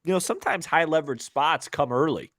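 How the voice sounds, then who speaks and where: A man with a somewhat high voice talks sports as a podcast co-host, recorded dry and close. He is opinionated and emphatic, tripping over repeated words as he breaks things down in casual banter. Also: brisk, fairly bright tone, dry recording.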